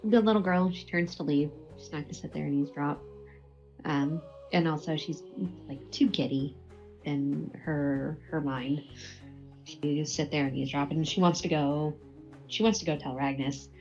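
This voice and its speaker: A woman's voice: low voice, fairly even intonation, moderate pace, darkish tone. A woman playing a tabletop game, casually describing what her character feels and does. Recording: slightly dull sound, music behind.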